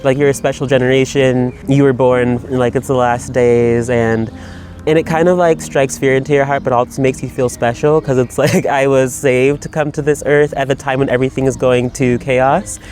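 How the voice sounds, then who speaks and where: A man's voice: mid-range voice, steady tone, normal speed, a little mellow, slight background noise. A man speaking in a personal video monologue, rambling and reflective, with many fillers and restarts. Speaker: writer. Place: Berlin.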